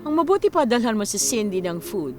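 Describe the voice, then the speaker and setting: A woman playing a caring, coaxing mother in a radio drama, expressive and mixing English into her speech. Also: mid-range voice, brisk, over music, natural voice. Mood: composed, caring.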